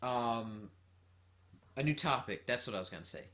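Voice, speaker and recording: A man co-hosting a casual podcast, talking in an energetic, jokey and self-deprecating way. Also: mid-range voice, moderate pace. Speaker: podcast co-host. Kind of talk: casual chat.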